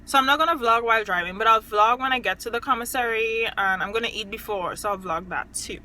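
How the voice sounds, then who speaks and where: Bright, chatty young woman vlogging. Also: on the high side, quick pace, a little hiss or hum. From Trinidad and Tobago. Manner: chatty, exclaiming often. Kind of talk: casual vlog chat. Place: at home, indoors and in the yard.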